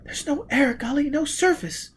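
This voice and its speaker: A man voicing an exhausted, frightened young woman in an audiobook, with a thin, breathy voice. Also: high-pitched, normal speed, voice pushed up, lightly acted.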